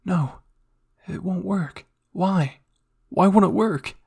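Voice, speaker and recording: A man's voice: sits high, takes it slowly, vocal fry, darkish tone, slightly rough, voice pushed up, exaggerated voice. A man voicing the inner thoughts of a desperate, self-doubting fighter in an audio story, with intense and strained delivery. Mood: desperate, forceful. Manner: intense, swinging from whispers to strained cries.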